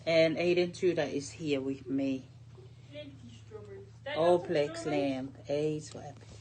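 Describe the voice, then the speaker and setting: A woman's voice: deep voice, fairly even intonation, slow, a crowd in the background. A middle-aged woman reading a picture book aloud to a young child in simple short phrases, drawing out key words and pointing things out with questions.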